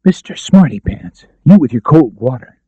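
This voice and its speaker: A man's voice: mid-range voice, sing-song, moderate pace, warm tone, slightly rough, no echo, highs cut off, voice pushed up. A man voicing a defiant, haughty young woman, snappish and scornful, in an audiobook. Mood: indignant. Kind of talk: audiobook character dialogue.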